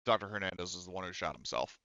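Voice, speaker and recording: A man's voice: low voice, expressive, racing, bright, forceful tone, no echo, highs cut off. A man improvising casual narration as a tabletop game master, explaining things in a hesitant way.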